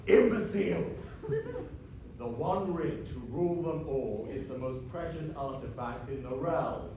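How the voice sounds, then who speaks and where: A man's voice: medium pitch, a little slow, close to an everyday voice. A man playing a pompous, exasperated villain wizard in a comic stage pantomime, declaiming grandly. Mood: commanding, indignant. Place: stage in a hall with live audience. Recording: echoey theatre, distant mic, noisy.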